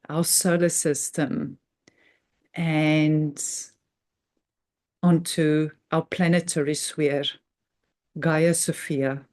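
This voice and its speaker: Very slow, low-voiced woman guiding meditation. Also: darkish tone. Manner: measured, halting delivery in short phrases with pauses. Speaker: guided meditation host. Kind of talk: unscripted spiritual talk introducing a guided meditation.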